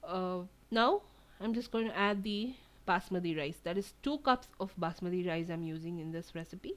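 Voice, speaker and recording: A woman's voice: on the low side, normal speed. Chatty woman explaining a recipe. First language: Tamil. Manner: relaxed, chatty, many fillers. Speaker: cooking channel host. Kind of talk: home cooking tutorial voice-over. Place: home kitchen.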